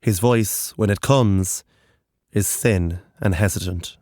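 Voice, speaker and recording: A narrator reading dark, literary horror fiction in a deliberate, intense and dramatic way. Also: low-pitched, dry recording, clean studio sound.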